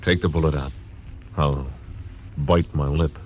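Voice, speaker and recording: An actor playing a laconic, stubborn frontier marshal who narrates, in 1940s radio acting. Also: male voice, a bass voice, steady tone, a little slow, a little mellow, muffled recording, a little hiss or hum. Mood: steady. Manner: terse, dry. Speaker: narrator and lead. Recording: old radio recording with music.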